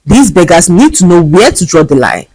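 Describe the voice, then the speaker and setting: A woman voicing a spoiled, arrogant rich young woman who turns desperate and shrill. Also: big pitch swings, moderate pace, darkish tone, husky, no echo, poor audio. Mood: scornful. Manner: shrill, pleading cries.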